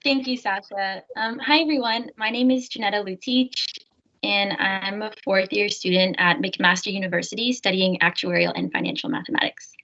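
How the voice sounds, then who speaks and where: Young woman, 20s, student, bright voice, polite and short, lo-fi webinar sound. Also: medium pitch, normal speed. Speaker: university student panelist.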